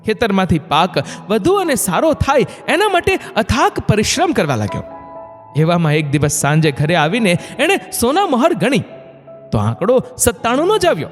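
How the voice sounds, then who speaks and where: A man narrating a scripted moral story in an expressive, dramatic way. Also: sits high, normal speed. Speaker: narrator of motivational stories. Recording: long hall reverb, music bed. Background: background music.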